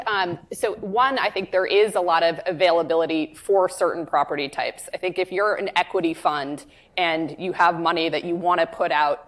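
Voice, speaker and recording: Low-voiced woman weighing market risks. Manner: analytical, thinking aloud with "I think". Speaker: panelist. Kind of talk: conference panel discussion. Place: conference room panel.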